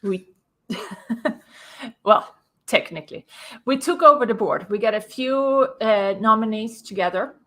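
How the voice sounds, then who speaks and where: A woman's voice: on the high side, animated, takes it slowly, long pauses, bright, forceful tone. Swedish-born woman explaining her business. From Sweden. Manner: clear, explanatory, laughs lightly. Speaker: guest, business consultant. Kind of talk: interview storytelling. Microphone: video call.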